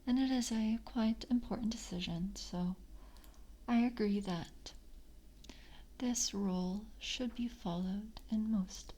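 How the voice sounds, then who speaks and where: Breathy, whispering female vampire, acted by a woman. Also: medium pitch, warm tone, rough recording. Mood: confiding, formal. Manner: slow, deliberate, formal, intimate. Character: seductive, composed female vampire coven member, hungry and courteous. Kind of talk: ASMR roleplay, soft speaking.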